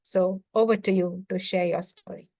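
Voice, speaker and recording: A woman hosting a talk, introducing a guest speaker in formal, measured, courteous phrasing. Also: fairly low pitch, fairly even intonation, dark tone, no echo.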